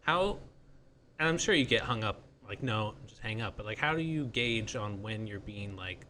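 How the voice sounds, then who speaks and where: A man's voice: lowish voice, lively intonation, normal speed, pushed and bright, slight background noise. A man hosting a casual podcast chat, thinking aloud informally as he talks. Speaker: podcast host.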